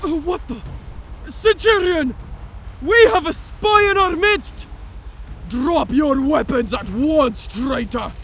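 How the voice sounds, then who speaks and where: An actor voicing a young, hostile, indignant orc guard with a gravelly voice in a fantasy audio drama. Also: male voice, very high voice, takes it slowly, a bit bright, a little hiss or hum, exaggerated voice. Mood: alarmed.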